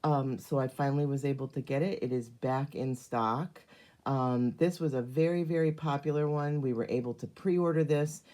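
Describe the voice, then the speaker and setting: Middle-aged woman craft host, hoarse, very low and mellow, chatty and filler-heavy. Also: normal speed. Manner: chatty, many ums, greets viewers by name. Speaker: card-making demonstrator hosting a live stream. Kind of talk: casual live craft demonstration.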